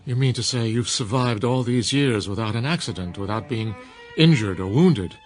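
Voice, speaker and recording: The elderly doubter in a suspense radio play played by a male actor, a frightened man of science insistently challenging an impossible story over soft music. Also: mid-range voice, normal speed, natural voice. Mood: probing. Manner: incredulous, insistent. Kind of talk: radio drama acting.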